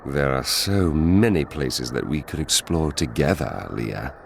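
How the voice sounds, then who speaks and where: A man voicing a roguish, smooth-talking thief who is sly and flirtatious. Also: rumbling low, expressive, a little slow, exaggerated voice. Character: roguish charming male thief and scoundrel. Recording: noisy background.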